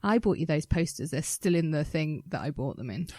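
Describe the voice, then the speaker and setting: Female podcast co-host, animated pitch swings, playful and teasingly exasperated, casual banter, dry sound. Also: a little fast, no echo.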